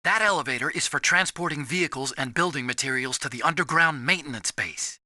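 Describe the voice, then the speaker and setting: An actor playing an earnest, anxious, soft-hearted young engineer in a video game, with a boyish, pleading way of talking. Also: male voice, fairly high pitch, a little fast, dry recording, studio quality. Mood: cautionary.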